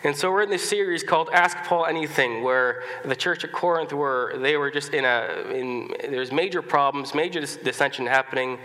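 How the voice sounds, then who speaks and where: A man preaching in a church, his voice stuffed up from a cold and a little high for a man, with a bright, forceful edge. He talks in a loose, conversational way, restarting phrases and clearing his throat. The sanctuary gives a long, ringing reverb. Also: normal speed, few pauses. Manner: conversational, many restarts and fillers, occasional coughs and throat-clearing. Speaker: pastor. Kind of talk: sermon.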